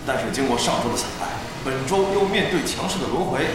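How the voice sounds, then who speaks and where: A man playing a sober, doubtful esports co-commentator in an audio drama, measured and analytical. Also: mid-range voice, lively intonation, natural voice. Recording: very echoey arena-like sound.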